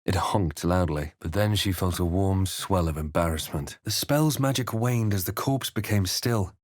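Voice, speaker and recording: Brooding game hero: lowish voice, measured dramatic delivery, dry studio-close sound. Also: male voice, no echo. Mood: grave. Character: composed, brooding male fantasy adventurer hero. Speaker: player character voice. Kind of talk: scripted game narration and character lines.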